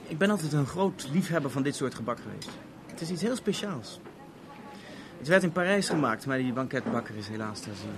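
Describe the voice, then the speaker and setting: Urbane, wry police officer, played by an actor, noisy recording. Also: male voice, medium pitch, animated, lightly acted. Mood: wry, musing. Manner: measured, formal, wordy, dryly ironic. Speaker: radio drama actor. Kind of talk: 1970s Dutch radio drama acting. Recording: noisy old radio play recording.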